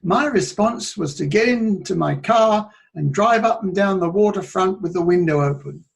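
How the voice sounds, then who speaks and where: A man giving a motivational talk on public speaking, moving between loud and soft delivery as he tells stories. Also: sits high, animated, moderate pace, creaky. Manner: shifts between loud and soft, playful with his voice.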